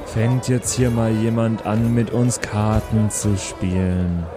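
A man's voice: lowish voice, level tone, slow, music in the background. A man chatting and improvising as a player in a tabletop role-playing session.

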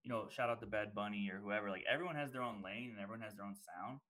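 Bright-voiced, hyped-up podcast host. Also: male voice, on the low side, quick pace. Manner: enthusiastic and affirming, peppered with "bro" and "man". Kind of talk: casual podcast interview.

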